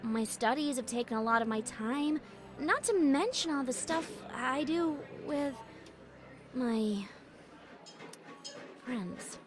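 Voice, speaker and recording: A woman acts a studious young unicorn, anxious and weighed down by guilt, in an audio story. The voice is high and swings expressively, halting and slow, over clearly audible music. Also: expressive. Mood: ashamed. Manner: hesitant, halting. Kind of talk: dramatic reading of fan fiction.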